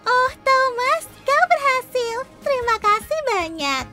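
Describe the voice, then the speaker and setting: A woman voicing a kindly, earnest young female talking train engine in a children's cartoon dub, expressive and anxious. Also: very high-pitched, a little slow, loud music behind the voice, exaggerated voice. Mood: relieved, grateful.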